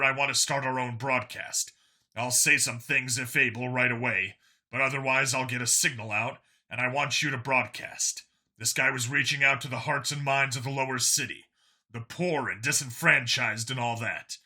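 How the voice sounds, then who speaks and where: A man's voice: medium pitch, fairly even intonation, moderate pace, sharp tone. A man voicing a terse, weary human detective in a sci-fi story reading. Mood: determined. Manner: terse, grumbling. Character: Vincent, gruff weary human detective on dangerous missions.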